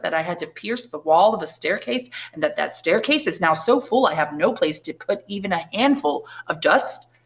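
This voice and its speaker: A woman voicing an elderly, learned imprisoned priest in an audiobook, speaking emphatically and articulately with bitterness and resignation. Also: lowish voice. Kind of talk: dramatised audiobook reading of a classic novel.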